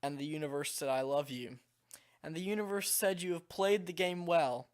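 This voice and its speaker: A man with a slightly hoarse, worn-out voice, reading a game text aloud in a calm, measured way. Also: fairly high pitch. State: slightly hoarse, voice nearly gone. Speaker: video creator.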